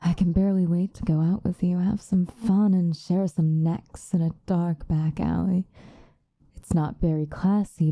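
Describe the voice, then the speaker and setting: Doting, menacing vampire lover, female performer: lowish, very mellow, soft whispery ASMR delivery, coaxing and condescending, close-miked. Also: no echo. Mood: adoring, smug. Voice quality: soft, breathy, often dropping to a whisper. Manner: slow, coaxing, condescendingly affectionate. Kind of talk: ASMR roleplay monologue. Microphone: close microphone.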